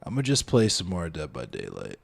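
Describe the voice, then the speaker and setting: A man's voice: low-pitched, wildly swooping pitch, brisk, creaky. A man streaming a video game, chatting casually and rambling to his viewers and a friend. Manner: laid-back, rambling, talks to chat and a friend. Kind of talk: casual gaming stream chat.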